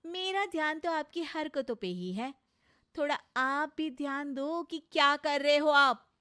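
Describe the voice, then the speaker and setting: Woman acting a bold, high-voiced young daughter-in-law. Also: a little slow, sharp tone. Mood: assertive. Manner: assertive, pointed, teasing. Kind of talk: cartoon moral story acting.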